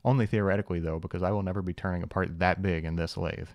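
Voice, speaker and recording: A man's voice: sits low, fairly even intonation, very fast, a little mellow, no room sound. A young man narrating a scripted shop-tour voice-over, explaining machines with dry, wry humor. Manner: dry, wry humor with self-deprecating asides. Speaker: channel host, machinist.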